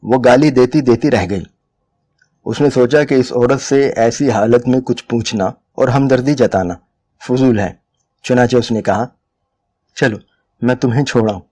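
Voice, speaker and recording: A man's voice: medium pitch, a little slow, dark tone. A man reading a literary short story aloud as dramatic scripted narration. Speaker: dramatic reader of short stories.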